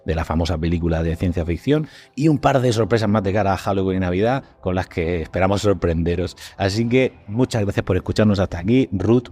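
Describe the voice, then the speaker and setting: A man's voice: deep voice, a little mellow. A man with an accent from Spain hosting a podcast, chatting casually in informal, slangy language. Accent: Spanish from Spain. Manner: informal, colloquial, with slangy swearing. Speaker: podcast host.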